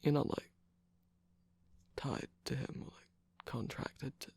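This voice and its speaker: A performer voicing a flirtatious, teasing male villain's minion in a romantic ASMR roleplay, husky and breathy with a coy, halting delivery that often drops into whispers. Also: medium pitch, steady tone, a little mellow, exaggerated voice. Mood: teasing, persuasive.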